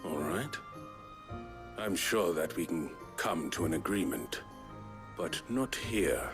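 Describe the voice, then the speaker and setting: Low-voiced shady soldier. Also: male voice, expressive, takes it slowly, over music. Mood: impressed, wary. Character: shady soldier dealing in stolen crystals, oily. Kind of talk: video game voice acting.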